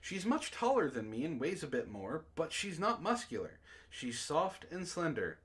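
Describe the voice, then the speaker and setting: An animated male host reads prose aloud for a joking book club. His pitch swings widely as he moves between narration and exclaiming asides, and he breaks easily into laughter. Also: moderate pace.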